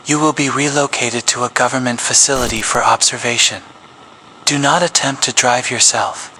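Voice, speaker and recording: A man reading a scripted public safety announcement as an emergency broadcast announcer, in a grave, measured official tone. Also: medium pitch, steady tone, pushed and bright, a little hiss or hum, rough recording. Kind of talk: scripted horror narration.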